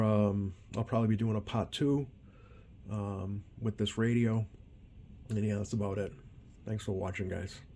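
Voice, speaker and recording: A man giving a casual hands-on demonstration as a repair technician, talking in a hesitant, rambling way with many ums and pauses. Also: lowish voice, a little mellow. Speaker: CB radio repair technician hosting a video. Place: repair workbench indoors. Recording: noisy room tone behind voice.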